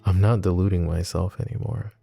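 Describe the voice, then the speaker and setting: A gravelly, tender mafia boss. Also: male voice, deep voice, flat delivery, brisk, soft background music. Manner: soft-spoken, teasing, intimate. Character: brooding male mafia boss, wry and tender. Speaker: voice actor. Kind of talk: ASMR roleplay.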